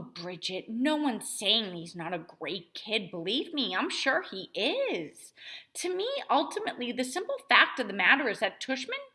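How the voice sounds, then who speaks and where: A woman's voice: a little high, sharp tone, voice pushed up, exaggerated voice. A woman reading a children's novel aloud, voicing an indignant, self-righteous mother who complains emphatically. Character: indignant self-righteous mother rallying other school moms.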